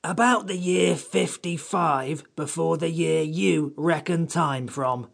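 A man voicing a haughty, complaining furry magical creature in a creaky, husky storybook character voice. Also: a little high, lively intonation, slow, voice pushed up, full character voice. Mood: crabby. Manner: crabby, pompous, disdainful.

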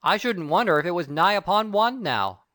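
A woman voicing a sentimental male burglar, talking loudly. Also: fairly low pitch, expressive, moderate pace, no room sound, clean studio sound. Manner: loud, rough working-class speech.

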